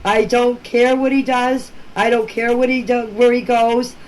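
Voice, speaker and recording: A woman's voice: on the high side, flat delivery, a little slow, darkish tone. An older woman talks informally and emphatically in a voice message, plain-spoken and often repeating herself. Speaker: relative speaking about a missing-children case. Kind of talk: informal personal voice-message talk. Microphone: phone voice message. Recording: noisy amateur voice-message recording.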